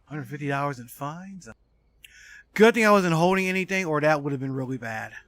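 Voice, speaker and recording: A man's voice: on the high side, lively intonation, brisk, a bit bright, no room sound. A man in his forties doing casual live gameplay commentary while chatting with viewers, swearing freely. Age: 40s. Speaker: video game streamer.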